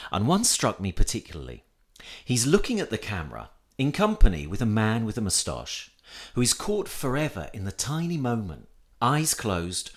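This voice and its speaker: A man reading a reflective personal essay aloud in a measured, thoughtful manner as scripted narration. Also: lowish voice.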